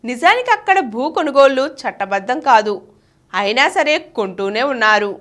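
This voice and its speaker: A woman reading a current-affairs article as scripted narration, in a formal, clearly phrased way. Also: fairly high pitch, animated, moderate pace, fairly bright tone.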